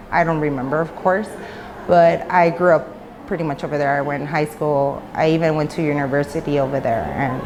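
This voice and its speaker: Thirties woman from mexico, grew up in the us, lowish voice, chatty and rambly, noisy background. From Mexico, raised in the United States. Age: 30s. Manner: loose and talkative, many fillers and restarts. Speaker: interviewee. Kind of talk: candid personal interview. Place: women's prison in Mexico.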